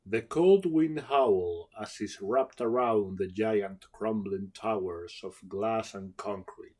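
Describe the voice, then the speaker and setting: Male narrator for short fiction: fairly low voice, dramatic scripted storytelling. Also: takes it slowly, a little mellow.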